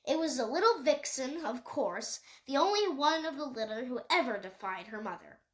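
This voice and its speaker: A woman's voice: sits high, animated, moderate pace, rough recording. A woman reading a children's animal novel aloud as scripted storybook narration. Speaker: audiobook reader.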